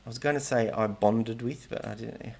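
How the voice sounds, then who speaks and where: A man's voice: medium pitch, moderate pace, creaky, a little mellow. A middle-aged man talking casually in a vlog, rambling and hesitant, often restarting and correcting himself. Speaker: language learning vlogger.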